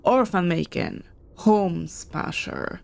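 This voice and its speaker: A woman voicing an imperious, merciless ruler in a story, with a commanding, measured, oratorical delivery. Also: lowish voice, sing-song, vocal fry, darkish tone, a little hiss or hum, exaggerated voice. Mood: proclaiming judgment. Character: imperious, merciless ruler pronouncing judgment on a foe.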